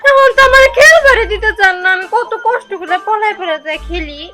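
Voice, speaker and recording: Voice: extremely high pitch, expressive, a little fast, bright, forceful tone, faint music behind, full character voice. A performer playing a childish, whiny young bride in a village comedy drama, pleading in a sing-song babyish way. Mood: whining.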